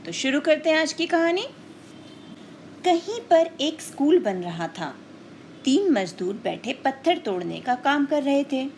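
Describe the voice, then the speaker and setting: A woman teacher speaks to children in a storytelling lesson style, with a fairly high voice that moves up and down in a lively way. She takes her time, explaining and questioning didactically, over faint background noise. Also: a little slow.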